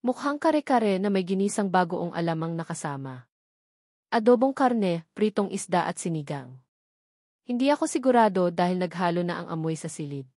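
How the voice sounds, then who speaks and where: A woman's voice: lowish voice, animated, moderate pace, a little mellow, no room sound. Filipino woman narrating, close dry sound. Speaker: audiobook narrator. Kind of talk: scripted first-person novel narration.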